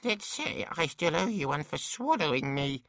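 A man's voice: on the high side, big pitch swings, normal speed, vocal fry, a bit bright, no room sound, voice pushed up, exaggerated voice. A man voicing a small sarcastic male pixie smuggler in an audiobook, with a snide, needling manner. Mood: resentful, sarcastic.

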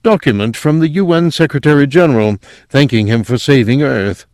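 A man's voice: mid-range voice, brisk, a little mellow, no room sound, voice pushed up, close to an everyday voice. A fussy male technician from an audiobook, acted by a male narrator. He explains in a pedantic, self-satisfied way, heard close in a dry recording. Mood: wry, explanatory. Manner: pedantic, explanatory, hesitant throat-clearing. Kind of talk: audiobook character voice.

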